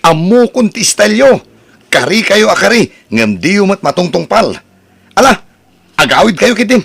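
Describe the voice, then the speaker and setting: A man's voice: high voice, very animated, moderate pace, creaky, fairly bright tone, husky, full character voice. A man playing an irritable grown son who scolds his parents in a radio drama. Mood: exasperated. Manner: exasperated, scolding.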